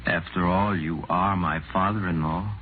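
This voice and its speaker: A man plays a coolly sinister, evasive figure in a vintage radio horror play. His voice is deep and measured. The recording is muffled, with faint noise. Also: fairly even intonation, natural voice. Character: grave, cryptic, calm man of sinister authority. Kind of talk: 1940s radio horror acting.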